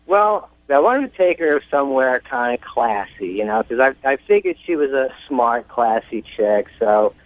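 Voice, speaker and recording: A man's voice: medium pitch, expressive, moderate pace, hardly any pauses, dry recording. A man phoning in to a radio show, chatting casually and rambling through his story in a self-deprecating way. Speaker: radio show caller seeking dating advice. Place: phone call to radio show. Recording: dull phone-in line on radio.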